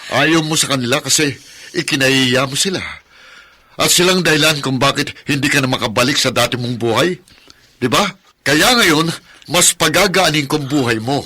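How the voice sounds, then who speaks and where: A devoted Filipino father at the end of his patience in a radio drama. His voice is forceful and raspy, earnest and emotional. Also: mid-range voice, normal speed. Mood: bitter, angry. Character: frustrated young father, devoted, losing patience with his wife.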